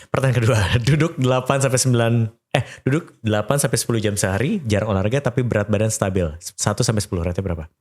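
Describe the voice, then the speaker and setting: A man's voice: medium pitch, fast talker, few pauses, darkish tone. A man with a Jakarta Indonesian accent hosting a podcast, chatting and asking questions informally with English phrases mixed in. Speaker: podcast host. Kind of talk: casual interview chat.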